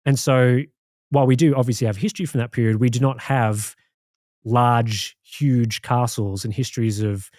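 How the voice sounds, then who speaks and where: An Australian man co-hosting a podcast, recounting things in a rambling, relaxed way with many fillers. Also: mid-range voice, normal speed, dry recording, studio quality. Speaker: podcast co-host. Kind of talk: casual chat.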